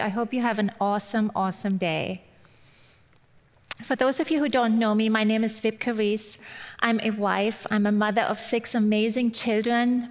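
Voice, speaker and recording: A middle-aged woman from Germany with a German accent, giving a personal, lightly humorous church sermon as a guest speaker. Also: mid-range voice. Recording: long hall-like reverb.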